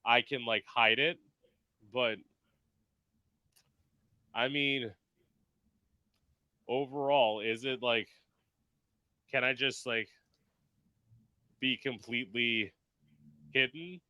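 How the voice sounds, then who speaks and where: A man's voice: mid-range voice, steady tone, very slow, long pauses, bright, forceful tone, clean tone, no room sound. A man hosting a podcast in casual chat, talking emphatically and filling his speech with "like". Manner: chatty, emphatic, full of "like" fillers; performs in drag. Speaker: podcast host.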